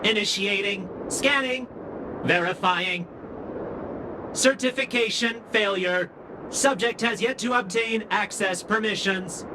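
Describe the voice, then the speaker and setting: A man's voice: high-pitched, takes it slowly, background noise. A man voicing an officious robot gatekeeper in a video game, formal and emotionless. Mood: impassive, procedural. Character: officious robot gatekeeper machine running certification tests. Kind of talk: scripted video game voice acting. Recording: music behind the voice. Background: game music.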